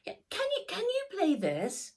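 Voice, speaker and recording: A woman voicing an eager, excitable farm boy who exclaims his way through a children's storybook. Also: extremely high pitch, a little slow, pitched above the performer's own voice, heavily acted. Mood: excited, eager.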